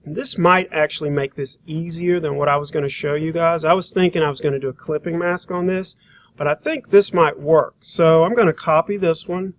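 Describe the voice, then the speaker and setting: A man teaching a software demonstration, talking informally and thinking aloud as he works through each step. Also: a little high, a little fast. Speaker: graphic design instructor.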